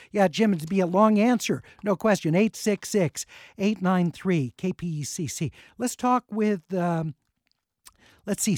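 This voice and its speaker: A man's voice: high-pitched, darkish tone, no room sound, studio quality. A man hosting a radio call-in show, chatting casually and reading listener comments aloud. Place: radio studio. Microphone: studio microphone.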